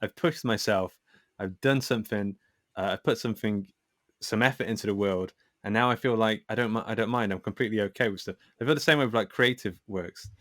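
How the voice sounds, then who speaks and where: A man speaking as a podcast guest in a casual conversation, reflective and candid, often halting as he works out his thoughts. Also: lowish voice, fairly bright tone, no room sound, clean studio sound.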